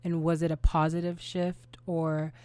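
A woman co-hosting a podcast, asking a question in a measured, careful way. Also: deep voice, level tone, darkish tone, clean tone, no room sound. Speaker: podcast co-host. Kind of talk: interview question.

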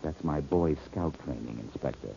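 An actor playing a flippant, wisecracking amateur detective in an old-time radio drama, teasing and mocking in a husky voice. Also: male voice, extremely low pitch, normal speed, mellow, muffled recording, exaggerated voice. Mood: dry, sardonic.

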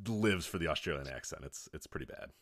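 A man's voice: extremely low pitch, expressive, quick pace, a bit bright, no echo, studio quality. A man co-hosting a casual, joking chat about films, easygoing and happy to swear. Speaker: podcast co-host. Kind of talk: casual comedic movie chat.

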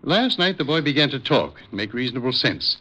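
An actor playing an educated, patient, dryly amused psychiatrist in an old radio drama, speaking in a measured, formal, precise way. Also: male voice, medium pitch, very animated, bright, forceful tone. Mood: earnest, explanatory. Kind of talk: 1950s radio drama acting. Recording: dull, lo-fi old radio recording.

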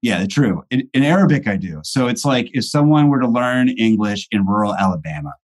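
A man's voice: mid-range voice, expressive, fairly quick. A man in his thirties chatting as a podcast guest, rambling and hesitant with many fillers. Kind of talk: casual chat.

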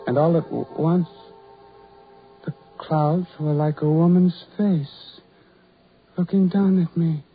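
An actor playing a tormented, lonely ugly sideshow man, brooding and dreamy in halting, broken phrases, in the style of 1940s radio horror. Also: fairly high pitch, steady tone, slightly rough, soft background music, heavily acted. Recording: muffled vintage 1940s radio recording.

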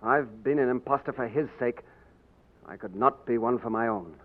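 An actor playing a bold, wry young English gentleman posing as king in a 1940s radio drama. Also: medium pitch, normal speed, close to an everyday voice. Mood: resolute. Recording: tinny 1940s radio broadcast.

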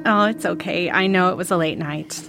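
An actress playing an easygoing, affectionate wife in an audio drama, talking in a soothing way. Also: female voice, fairly low pitch, moderate pace, vocal fry. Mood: tender, relaxed. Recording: music under the voice.

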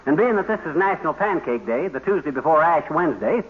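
An actor playing a boastful, bumbling husband with folksy, slangy talk in old radio comedy style. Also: male voice, on the high side, expressive, fast talker, natural voice. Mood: cocky. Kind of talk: 1940s-50s radio comedy acting. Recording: dull, very noisy old radio recording.

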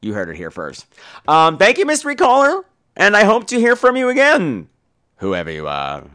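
Sarcastic guy hosting a podcast, super swoopy pitch, bright punchy voice, swears and hams it up. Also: huge pitch swings, moderate pace, clear, resonant tone. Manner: sarcastic, profane, theatrical. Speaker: podcast host. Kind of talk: casual podcast chat reacting to listener voicemails.

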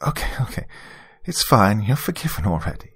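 A man's voice: on the low side, sing-song, moderate pace, a bit bright, slightly rough, heavily acted. A man voicing a young British woman in an audio story, a playful, gracious hostess with a genteel, teasing way of talking. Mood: amused, forgiving. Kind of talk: audio story character dialogue.

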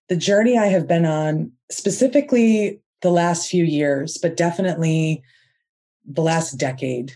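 A woman hosting her own podcast, talking in an earnest, heartfelt way and stretching out the words she stresses. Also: lowish voice. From United States. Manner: earnest, soft-spoken and heartfelt, drawn-out emphasis. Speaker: podcast host. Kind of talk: inspirational podcast monologue.

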